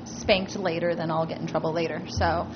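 Woman chairing a meeting into a table mic, muffled recording with clear background noise. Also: low-pitched, little pitch movement, moderate pace. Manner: friendly and a little flustered, laughing at herself. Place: public meeting room. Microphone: table microphone.

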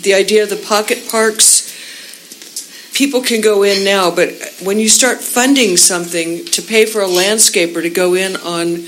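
A woman speaks at a community meeting in a measured, cautious way. Her voice has a middle pitch with a faint hiss behind it. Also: fairly even intonation, fairly bright tone, poor audio. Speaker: public commenter. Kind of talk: public comment at a community meeting.

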